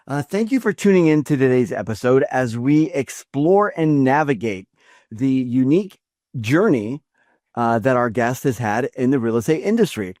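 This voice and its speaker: A man hosting a podcast interview in a studio, often halting and repeating words as he thinks. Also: mid-range voice, a little mellow, no room sound. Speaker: podcast host. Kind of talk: interview with a scripted intro.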